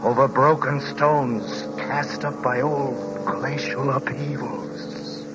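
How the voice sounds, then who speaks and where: A man playing a frightened, haunted young traveler in a 1940s radio drama, speaking with halting, dramatic intensity. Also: medium pitch, steady tone, over loud music, natural voice. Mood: haunted dread. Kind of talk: 1940s radio drama acting, first-person narration. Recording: muffled, noisy old radio recording.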